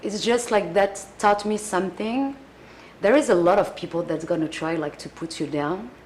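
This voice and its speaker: A young adult woman with a French accent, a singer answering interview questions in a casual, earnest way, often pausing to search for words. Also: mid-range voice, darkish tone, a little hiss or hum, poor audio. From France.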